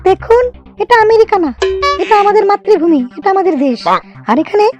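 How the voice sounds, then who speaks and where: A woman's voice: very high-pitched, animated, fairly quick, highs cut off, over music. A woman voicing a prim, outspoken young woman who argues firmly and emphatically in a comedy cartoon. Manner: emphatic, argumentative. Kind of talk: amateur cartoon comedy dialogue.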